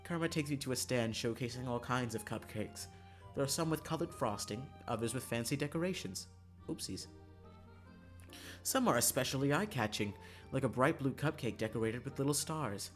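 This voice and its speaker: A man's voice: medium pitch, brisk. A man streaming a visual novel, reading the narration aloud in a dramatic storytelling style, with music behind his voice.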